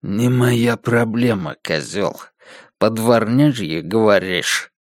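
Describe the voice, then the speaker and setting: A man voicing a crude, foul-mouthed male deep-sea posthuman in a slow, gruff drawl. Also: expressive, no room sound, clean studio sound. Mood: rude mocking. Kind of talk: audiobook character voice.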